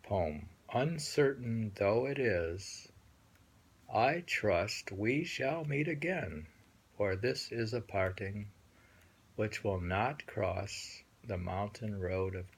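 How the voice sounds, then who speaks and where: A man reading poems aloud with casual commentary, musing and halting as he goes and often repeating phrases. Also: lowish voice, lively intonation, husky, rough recording. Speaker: host reading and discussing poems.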